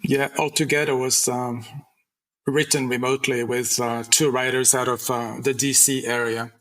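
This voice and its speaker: Man, medium pitch, bright sharp tone, casual guest chat. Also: little pitch movement, moderate pace, rough recording. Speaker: talent manager guest.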